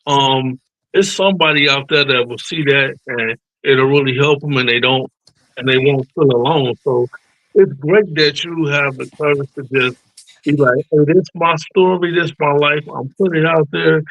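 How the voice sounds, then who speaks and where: A man's voice: on the high side, normal speed, a bit bright, no echo, dull audio. A man co-hosting a casual video call chat, earnest and supportive, with informal speech. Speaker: co-host.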